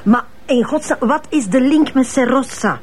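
A woman's voice: high-pitched, animated, moderate pace, husky. An actress playing a spirited, outspoken policewoman with a Flemish accent, talking colloquially in a naturalistic radio drama. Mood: insistent. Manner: colloquial, exclamatory.

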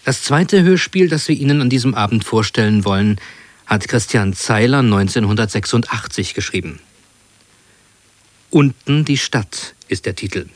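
A man working as a radio announcer, giving a scripted presentation in formal, measured phrases. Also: fairly low pitch, lively intonation, dry recording. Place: dry radio studio.

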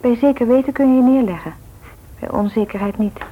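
An actress playing a caring, frank young medical student in a radio drama, earnest and slightly hesitant. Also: female voice, on the high side, a little fast, natural voice. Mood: earnest, sympathetic. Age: young adult. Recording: thin, phone-like old radio recording.

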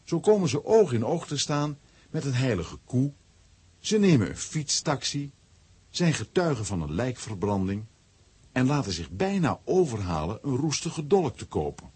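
A man with a husky voice narrating a scripted children's story. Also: medium pitch, very animated, moderate pace, a little mellow.

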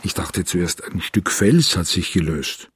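A man's voice: low-pitched, animated, unhurried, very noisy. An actor playing a brooding, stubborn male hotel guest in a radio play, telling his story confidingly, step by step. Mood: tense, recounting. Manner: confiding, recounting an ordeal step by step. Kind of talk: radio play dialogue and monologue. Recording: music behind the voice.

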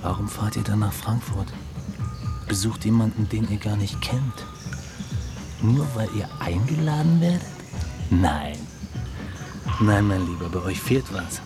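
Radio drama: a needling, condescending tempter, low-pitched, pushy and natural, over music. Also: male voice, expressive, measured pace, long pauses. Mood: insistent. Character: pushy, scornful male acquaintance, offering money temptingly.

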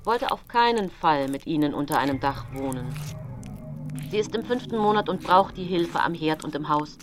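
A woman's voice: low voice, expressive, moderate pace. A woman playing a trusting, plain-spoken master wheelwright in a radio drama, with earnest, formal, old-fashioned speech. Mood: earnest, reassuring.

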